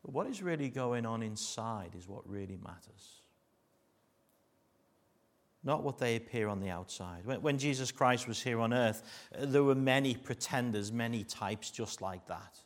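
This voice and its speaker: A middle-aged man with a Liverpool accent preaching a sermon, mixing jokey asides with earnest warnings that sink to a hush. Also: medium pitch, animated, normal speed. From Liverpool, England.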